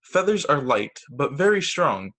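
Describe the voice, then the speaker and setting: A man teaching young children through a read-aloud storytime on a video call, with expressive, deliberate phrasing. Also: fairly high pitch. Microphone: video call microphone.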